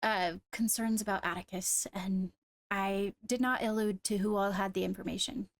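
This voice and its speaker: A woman improvises in-character dialogue for a roleplay game. Her voice sits at a middle pitch with a noticeable fry, and she words things carefully and diplomatically. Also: steady tone, moderate pace. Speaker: roleplayer playing a court leader.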